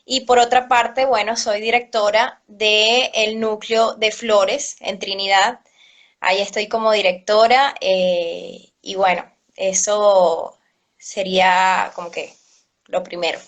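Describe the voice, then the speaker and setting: A woman with a Venezuelan accent, a cello teacher answering interview questions in a chatty way, often laughing and filling pauses. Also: medium pitch, fairly even intonation, a bit bright. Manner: chatty, laughs often, many fillers like "este". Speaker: cello teacher and orchestra program director.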